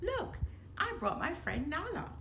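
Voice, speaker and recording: Woman voicing an excitable, high-pitched young boy lion cub. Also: unhurried, vocal fry, heavily acted. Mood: cheerful. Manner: exclaiming, childlike eagerness. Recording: roomy echo, background noise.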